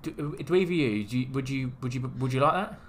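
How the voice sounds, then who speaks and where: A man's voice: medium pitch, fast, slight background noise. A British man hosting a podcast, chatting casually and bantering with friends. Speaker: podcast host.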